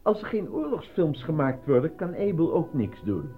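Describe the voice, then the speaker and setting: An actor in a Dutch radio play voicing a proud, defensive, self-important out-of-work actor and husband. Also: male voice, on the high side, very animated, moderate pace. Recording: dull lo-fi radio recording, faint music.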